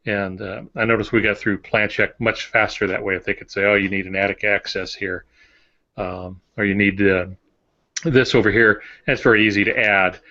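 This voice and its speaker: An older man co-hosting a podcast, giving conversational advice and anecdotes in a measured, hesitant way. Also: lowish voice, steady tone, sharp tone. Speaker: podcast co-host and writer. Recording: dull lo-fi audio.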